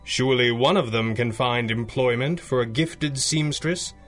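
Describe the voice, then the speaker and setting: A man's voice: mid-range voice, a little slow, fairly bright tone, lightly acted. Male actor for resolute vigilante hero in radio drama: medium voice, formal, grave, faint music beneath. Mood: measured.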